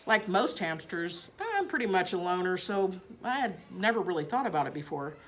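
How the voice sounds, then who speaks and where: A woman reading a children's storybook aloud in expressive narration. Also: lowish voice, moderate pace, poor audio.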